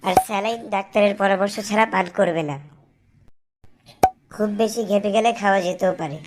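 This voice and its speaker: A woman's voice: mid-range voice, brisk, noisy background, natural voice. A woman playing an earnest schoolteacher in a cartoon, explaining and advising children. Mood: instructive. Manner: instructive, explanatory. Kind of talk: didactic cartoon dialogue.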